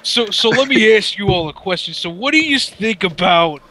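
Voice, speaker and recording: A man's voice: high-pitched, normal speed. A man joining a casual gaming chat as a guest, joking and cursing. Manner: joking, animated, profane.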